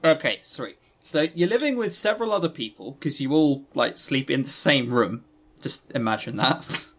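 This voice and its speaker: A man's voice: medium pitch, expressive, normal speed, a bit bright. A man co-hosting a podcast in casual chat, with a wry, joking, teasing way of talking. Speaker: podcast co-host. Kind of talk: casual chat reviewing visual novels.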